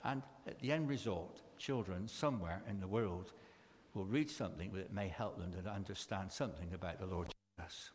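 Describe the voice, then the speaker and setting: A man's voice: deep voice, a little hiss or hum. Man giving a talk in an echoey hall. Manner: chatty, storytelling, halting with fillers. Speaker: mission worker speaking at church. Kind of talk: church talk and children's story.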